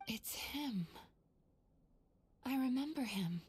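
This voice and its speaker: Earnest, high-voiced young psychologist. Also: female voice, animated, slow pace, a little mellow, slightly rough, full character voice. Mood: recognizing. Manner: earnest, thoughtful. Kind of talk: video game voice acting.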